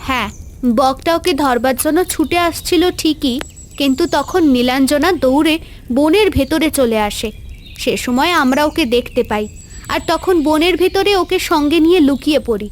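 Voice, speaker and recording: A woman's voice: high voice, normal speed, a little hiss or hum, natural voice. A woman playing a polite young princess in a fairy-tale cartoon, composed as she explains. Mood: calm, polite.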